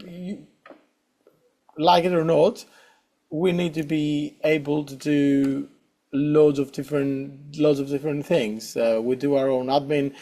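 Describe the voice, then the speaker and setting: A man's voice: a little high, level tone, a little slow, dark tone. A middle-aged man with a Greek accent, answering interview questions hesitantly with many fillers and restarts. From Greece. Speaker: guest saxophonist.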